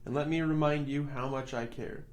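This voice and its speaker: Storybook role: big, kindly bearded craftsman, fatherly, slow and reassuring performed by a man reader. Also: medium pitch, steady tone, close to an everyday voice. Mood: tender. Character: kindly, fatherly old woodcarver, large bearded male maker. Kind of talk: children's storybook reading.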